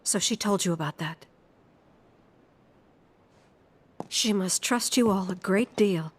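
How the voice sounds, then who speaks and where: A middle-aged mother voiced by an actress with a medium-pitched voice, protective and quietly sorrowful. She talks in a measured, reflective way, as in a game drama. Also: slightly rough, natural voice. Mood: grave, resigned. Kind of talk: dramatic storytelling in a game cutscene.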